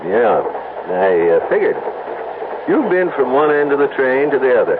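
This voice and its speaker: A relaxed working-man conductor, played by an actor in a vintage radio mystery. His voice moves up and down lively. It comes through thin and phone-like with steady hiss. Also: mid-range voice, moderate pace, close to an everyday voice. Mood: friendly. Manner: easygoing, plainspoken. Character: friendly train conductor, easygoing working man. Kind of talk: 1970s radio drama acting. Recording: tinny lo-fi old radio broadcast with hiss.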